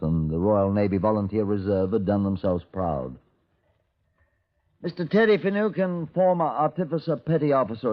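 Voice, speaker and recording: An actor plays a senior detective in a 1950s radio play. His low voice moves expressively through formal, dry, commanding speech. The sound is dull and lo-fi. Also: natural voice. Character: senior police detective, authoritative, dry, brisk superior. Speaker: detective narrating his case. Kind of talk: 1950s radio drama acting and scripted narration.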